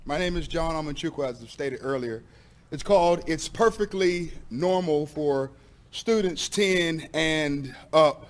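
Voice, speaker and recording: A man speaking as a pastor at a public meeting, delivering an impassioned speech in an emphatic, deliberate, confrontational way. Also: sits high.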